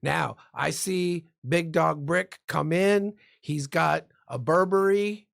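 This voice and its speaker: A man's voice: fairly high pitch, slow pace, gravelly, fairly bright tone. A man who works as a defense lawyer, explaining the law like a lecturer in profane street slang. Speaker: guest criminal defense lawyer.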